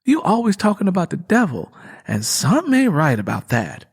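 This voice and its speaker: Audiobook role: young expectant country wife, played by a man, devout, anxious, chiding, with folksy wording and a slightly husky high voice. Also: wildly swooping pitch, brisk, heavily acted. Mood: scolding. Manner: folksy rural vernacular. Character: devout young pregnant rural woman, worried, gently scolding. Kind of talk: audiobook character dialogue.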